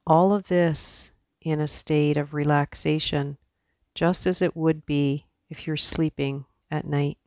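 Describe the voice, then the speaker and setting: A woman leads a guided meditation in a soft, soothing way, speaking very slowly with long pauses. Her tone stays very even, with a gravelly creak at the edges. She sounds like a teacher patiently giving relaxation instructions. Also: deep voice, no room sound, studio quality.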